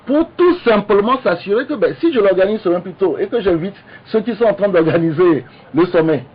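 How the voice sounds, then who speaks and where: Guy on a radio debate, keeps restarting, kinda high voice, muffled broadcast. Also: expressive, husky. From Togo. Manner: halting, many restarts, insistent. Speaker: opposition politician, guest.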